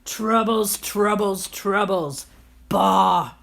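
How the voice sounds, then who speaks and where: Storybook villain: grumpy, miserly old landlord played by a woman, slow, blustering, forever complaining. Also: mid-range voice, creaky, a bit bright, exaggerated voice. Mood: grumbling. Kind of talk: storybook character voice.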